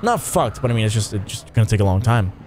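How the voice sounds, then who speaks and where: A young man streaming a game, talking casually in a lowish voice with lots of fillers and the odd swear word. He sounds tired, and a faint hiss of noise sits behind him. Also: big pitch swings, fast, a little mellow. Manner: rambling, swears, frequent fillers. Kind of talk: casual gameplay commentary.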